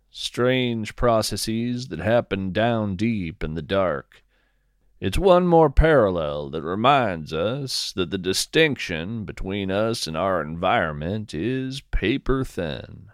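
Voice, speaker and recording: A man narrating scripted first-person fiction as a podcast host, in a measured, deliberate storytelling manner. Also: fairly low pitch, no echo, clean studio sound.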